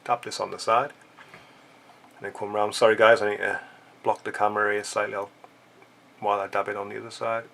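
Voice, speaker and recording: A man's voice: on the low side, little pitch movement, a little fast, creaky, pauses often, fairly bright tone. A man with a stuffy, blocked-up nose, chatting casually and joking as he walks through a fly-tying tutorial. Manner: chatty, joking asides while working. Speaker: fly-tying channel host.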